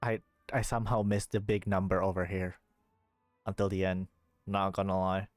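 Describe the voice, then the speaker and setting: Casting: male game streamer, lowish voice, dry close sound, casual grumbling wit over faint music. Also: level tone, moderate pace, no echo. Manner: wry, grumbling. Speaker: livestreamer.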